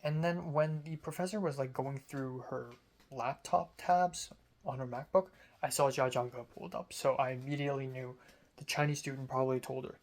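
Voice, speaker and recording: A man's voice: mid-range voice, fairly even intonation, moderate pace. A young man, a college student, telling a rambling, self-amused story in a casual vlog. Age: young adult.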